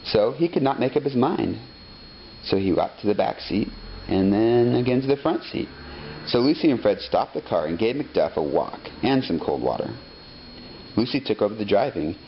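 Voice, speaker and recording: A man narrating a children's story expressively, reading deliberately phrase by phrase. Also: fairly low pitch, sing-song. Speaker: storybook reader. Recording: dull lo-fi sound, faint noise.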